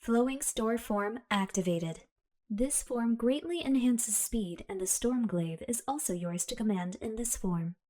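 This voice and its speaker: A flat, announcing belt computer voice, given by a woman, mellow and dark in tone. It speaks like an automated system. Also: a little high, measured pace, natural voice. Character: female talking armor belt computer.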